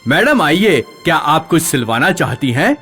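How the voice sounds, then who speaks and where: A man plays a ghostly village tailor in a cartoon horror tale. His voice sits fairly high, formally polite with a pleading edge. Faint music plays behind him. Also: very animated, measured pace, a bit bright. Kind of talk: animated horror story dialogue.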